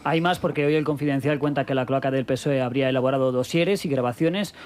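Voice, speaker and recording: A man's voice: mid-range voice, fast talker, a little mellow. A man from Spain reading news items and introducing clips on a radio news show.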